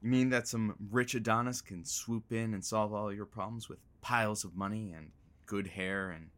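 An actor playing a skeptical but soft-hearted male radio colleague, bantering conversationally with dry teasing in an audio drama. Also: fairly low pitch, steady tone, normal speed, lightly acted.

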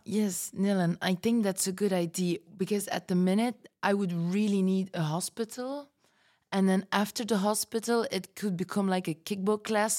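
A woman's voice: medium pitch, a little mellow, close to an everyday voice. A woman playing a dreamy, homesick, feisty woman in a fiction podcast, rambling wistfully with sighs. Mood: blunt, feisty. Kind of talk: fiction podcast panel interview acting.

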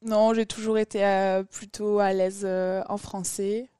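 A woman's voice: mid-range voice, level tone, measured pace, mellow, clear, resonant tone, dry recording, studio quality. Hesitant woman with a Toulouse accent. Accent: Toulouse, southern French. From Toulouse, France. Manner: hesitant, many fillers. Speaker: podcast guest. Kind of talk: casual interview chat.

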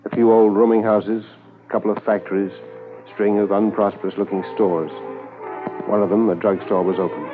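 Male actor as grave inspector, low-pitched, measured case narration, 1950s radio drama, narrow lo-fi sound, faint music. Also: steady tone, lightly acted. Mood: businesslike. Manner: terse, measured, matter-of-fact. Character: sombre, businesslike male police inspector narrating his case.

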